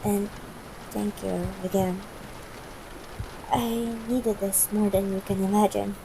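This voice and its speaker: A woman playing a tender, yearning, gently commanding CEO ex-girlfriend in an intimate ASMR roleplay, soft-spoken and formal in wording, with hesitations. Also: medium pitch, fairly even intonation, slow, vocal fry. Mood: tender, relieved. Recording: noisy broken-microphone home recording.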